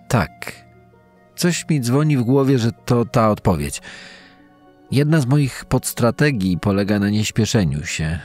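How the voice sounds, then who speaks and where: Guy acting as slow halting quiz contestant in a true crime drama, lowish voice, music behind. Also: darkish tone. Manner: halting, thinking aloud, drawn-out pauses. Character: hesitant male quiz contestant, army officer, stalling.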